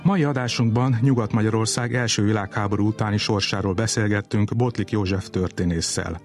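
A man presenting a radio programme as its host, reading scripted announcements. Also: on the low side.

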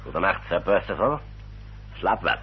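A considerate, formal lawyer with a low voice and very lively intonation. He sounds reasonable and earnest in radio play style, on a dull old recording. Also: normal speed, slight background noise. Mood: genial, relieved. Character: formal, reassuring English family lawyer, kindly and reasonable. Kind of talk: Dutch radio play acting.

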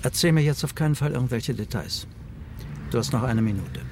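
A man's voice: medium pitch, animated, a little fast. An actor playing a cold older state prosecutor in a radio crime drama, curt and condescending toward his estranged son. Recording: clear background noise, car ambience.

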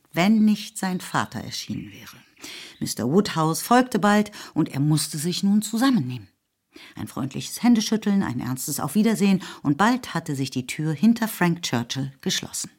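Low-voiced woman narrating a literary drama. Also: darkish tone, husky.

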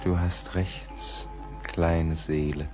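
Sad haunted poet monologue, radio drama, super slow and halting, soft music behind him. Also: male voice, deep voice, level tone. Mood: brooding. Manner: brooding, halting, intimate. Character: melancholy, haunted poet musing to someone lost. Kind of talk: German radio play monologue.

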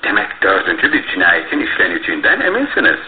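A man's voice: low-pitched, huge pitch swings, fast. An actor playing a courteous, shrewd detective in Turkish radio theatre, hesitating with small interjections as he asks polite, probing questions. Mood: attentive. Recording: muffled phone-like noisy old radio, roomy echo.

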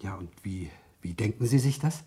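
An actor playing a polite, hesitant and obliging office employee in a 1970s radio crime drama. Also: male voice, fairly low pitch, expressive, moderate pace, dark tone, husky. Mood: subdued, obliging.

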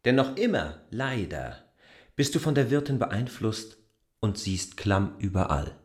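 A man's voice: lowish voice, sing-song, unhurried. An actor playing an insistent, jealous man who lectures the woman he loves in a literary radio play. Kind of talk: literary radio drama acting.

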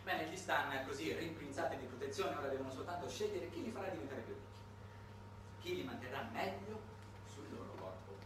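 A man's voice: fairly high pitch, fairly quick. A man delivering a satirical theatre monologue in a conversational, explanatory way, swinging into declamation and rhetorical questions. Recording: distant, very echoey, noisy live stage recording.

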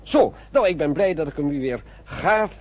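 An actor playing a jovial, chatty doctor with a husky voice and an effusive manner in a radio play. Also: male voice, a little high, expressive, normal speed. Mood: jovial, pleased. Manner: effusive, repeats little tag words. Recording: dull old radio recording.

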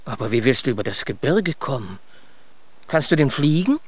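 An actor in a children's radio play voicing a proud but dreamy, scatterbrained stork with a good-natured, musing manner. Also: male voice, medium pitch, big pitch swings, normal speed, dry recording. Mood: doubtful.

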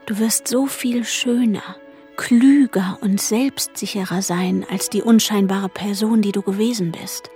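A woman's voice: medium pitch, steady tone, unhurried, a little mellow, soft background music, natural voice. An actress playing an earnest, self-disciplining teenage girl with romantic ambitions, speaking as an inner voice in a literary radio play. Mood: dreamy, coaxing.

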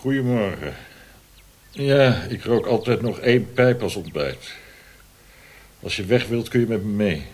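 Lowish-voiced easygoing smoker. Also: male voice, lively intonation, measured pace, pauses often, a little mellow. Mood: casual. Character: easygoing male pipe smoker offering company. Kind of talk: radio drama acting.

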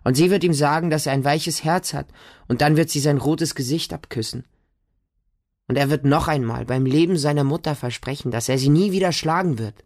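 An actor playing a wounded, innocent boy who tells of his childhood in a radio drama, in simple, deliberate, repeated phrases. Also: a little high, a little mellow, dry recording, studio quality, natural voice. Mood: resigned. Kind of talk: radio drama monologue, storytelling.

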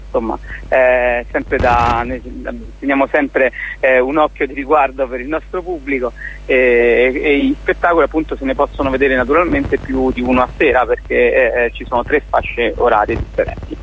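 A man speaking casually as an interview guest and festival organiser, in an informal, halting way, often searching for words. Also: medium pitch, fairly even intonation, fairly bright tone, slight background noise, low-quality recording.

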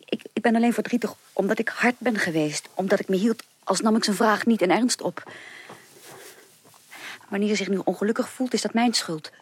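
A woman playing a proud, remorseful young upper-class woman in a Dutch radio drama, speaking emphatically and haltingly. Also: mid-range voice, lightly acted. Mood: agitated pride. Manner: emphatic, halting with repeated words. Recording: clearly noisy 1980s radio recording.

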